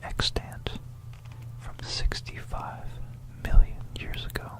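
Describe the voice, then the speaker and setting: An ASMR host narrating an educational piece in a hushed, breathy, unvoiced whisper, unhurried and conspiratorial, close to a stereo microphone. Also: low-quality recording. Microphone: close stereo binaural microphone.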